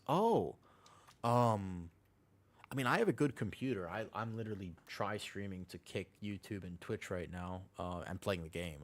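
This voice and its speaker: A man chatting casually and slangily as he livestreams an online game, talking to his viewers and teammates in a laid-back way. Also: lowish voice. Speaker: live streamer playing an online game. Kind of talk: casual livestream chat while gaming.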